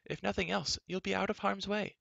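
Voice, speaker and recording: A man's voice: sits high, fairly even intonation, a little fast, vocal fry, a bit bright, dry recording, dull audio, pitched above the performer's own voice, full character voice. A man voicing a fussy, flustered middle-aged queen who scolds in a fluttery way.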